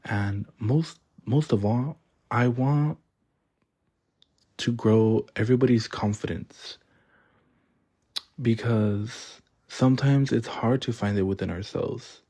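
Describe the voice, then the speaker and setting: A man's voice: medium pitch, takes it slowly, long pauses, warm tone. A young man hosting his own podcast, talking earnestly and rambling from the heart with fillers and repeats. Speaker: podcast host. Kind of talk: heartfelt solo podcast monologue.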